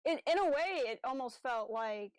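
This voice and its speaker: A woman with a fairly high voice chats casually as a podcast co-host. Her voice is recorded very dry, with no room sound around it, as she talks through a review. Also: animated, normal speed, darkish tone, clear, resonant tone, no echo.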